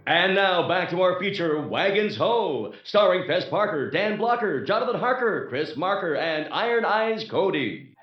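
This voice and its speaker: Echoey and dull with faint noise, a vintage television announcer. He delivers a showy feature introduction in a high voice. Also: animated, moderate pace.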